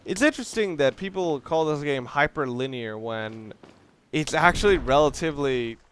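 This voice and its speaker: A man giving casual, excitable let's play commentary on a video game, with casual swearing. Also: on the high side, moderate pace. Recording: clearly noisy home recording.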